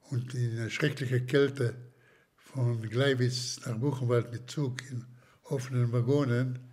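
An older man from Slovakia, giving slow, hesitant testimony in an interview with many pauses and restarts. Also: mid-range voice, darkish tone. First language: German as childhood language, long unused.

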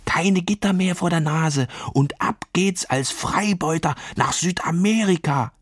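A man voicing a loud, brash female guinea pig who wants to be a pirate in a children's story, calling out in exclamations. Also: a little high, measured pace, no room sound, studio quality, pitched above the performer's own voice. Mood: excited. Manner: bellowing, exclamatory.

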